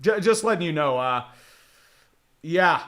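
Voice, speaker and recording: High-voiced bright fan commentator, swearing. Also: male voice, animated, unhurried. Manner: profane, exasperated, rambling with restarts. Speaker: fan play-by-play streamer. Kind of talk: casual live fan commentary.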